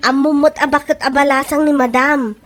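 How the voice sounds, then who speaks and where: A woman's voice: high voice, flat delivery, brisk, fairly bright tone, a little hiss or hum. A woman with an Ilocano accent playing an anxious office co-worker in a comedy radio drama. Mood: urgent. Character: anxious female office co-worker of a strict boss.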